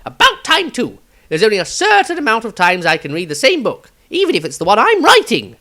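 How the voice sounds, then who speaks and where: A man voicing a fussy, eccentric wizard, expressive, wordy and grumbling, in a fantasy diary story. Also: high voice, brisk, pitched above the performer's own voice, heavily acted. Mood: exasperated. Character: fussy, eccentric male wizard, exasperated and animated.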